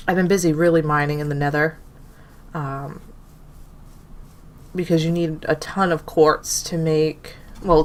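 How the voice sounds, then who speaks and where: Young woman, low-pitched, stuffy nasal voice, allergies, casual rambling game commentary, thinks aloud. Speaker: gaming channel host.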